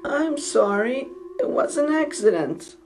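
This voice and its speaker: Woman acting young boy, apologetic, highish, animated, childlike, audio story. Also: measured pace, heavy background noise, exaggerated voice. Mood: sheepish. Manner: hesitant, childlike. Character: sheepish young boy, apologetic little brother.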